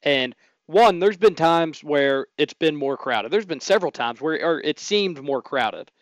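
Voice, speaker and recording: A hoarse man hosts a radio sports talk show in an upbeat, chatty way. His voice sits a bit high and comes across smooth and resonant despite the hoarseness. The studio sound is close and dry. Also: lively intonation, unhurried, no room sound.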